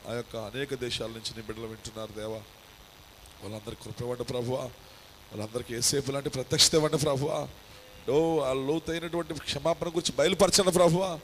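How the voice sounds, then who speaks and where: A man leading a fervent congregational prayer as pastor, ending each line with an address to God. His voice surges loud and high at the peaks, then drops to a pleading murmur. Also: vocal fry, a little hiss or hum, rough recording. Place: church service streamed live.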